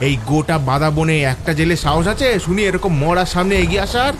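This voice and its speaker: A man playing a rough, boastful village fisherman who grumbles and swaggers in a horror audio story. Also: on the high side, expressive, brisk, lightly acted. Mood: irritated, boastful. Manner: swaggering, cursing, muttering defiantly. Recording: clear background ambience noise.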